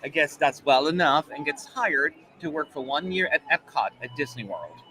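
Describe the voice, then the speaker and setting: Man, slight German accent, casual stream host, rambling with fillers, crisp bright voice. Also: on the high side, sing-song, normal speed, rough recording. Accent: German, mostly American-sounding. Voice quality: chatty, rambling with many fillers. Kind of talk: casual live-stream chat.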